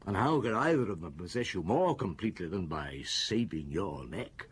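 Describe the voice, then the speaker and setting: An actor playing a shrewd middle-aged police chief in a radio drama, interrogating a suspect in an unhurried, cutting way with a husky voice. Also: male voice, sits low, expressive, exaggerated voice. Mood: probing.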